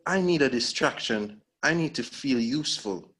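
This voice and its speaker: Guy reading the part of a haunted brooding man in a web drama, guilty, thinks out loud, mid pitched voice. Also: lively intonation, normal speed. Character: brooding, guilt-ridden man seeking redemption in thriller drama. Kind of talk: table read of web series drama.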